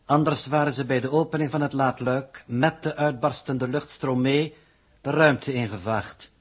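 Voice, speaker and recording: An actor playing a pedantic, formal alien coordinator who reports in stilted, ponderous phrases in a 1960s radio drama. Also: male voice, medium pitch, moderate pace, breathy edge, close to an everyday voice. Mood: dry, pedantic.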